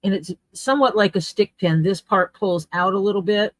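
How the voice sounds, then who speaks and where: A woman talks through her leatherwork on a livestream, chatty and unhurried as she explains each tool. Her voice sits at an ordinary middle pitch. The sound is dry and close, with no room echo. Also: steady tone. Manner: chatty, explains tools step by step while working. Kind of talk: casual livestream chat while crafting. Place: home workspace.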